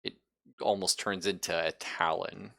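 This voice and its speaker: A man acting as game master, improvising descriptions and chatting informally, with a halting, casual delivery. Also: low voice, steady tone, gravelly, bright, forceful tone, no room sound, clean studio sound. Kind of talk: improvised tabletop game narration and casual chat.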